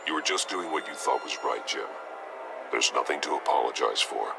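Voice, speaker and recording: An actor playing a grim, terse masked vigilante in a video game, with a gravelly, measured voice and steady mentoring manner. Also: male voice, very deep voice, exaggerated voice. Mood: sincere. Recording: music underneath.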